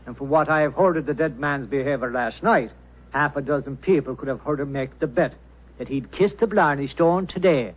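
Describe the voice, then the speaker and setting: Actor as lilting, high-pitched Irish police sergeant. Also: male voice, close to an everyday voice. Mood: jolly, carefree. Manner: lilting, jolly, unhurried. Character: cheerful, easygoing Irish village police sergeant. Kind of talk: 1940s radio acting. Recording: dull, band-limited vintage radio recording.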